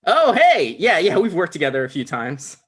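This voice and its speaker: A man's voice: on the high side, wildly swooping pitch, normal speed, fairly bright tone, slightly rough, heavily acted. A man playing a self-important, insecure would-be talk show host, dismissive and argumentative, in a comedy table read. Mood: wounded.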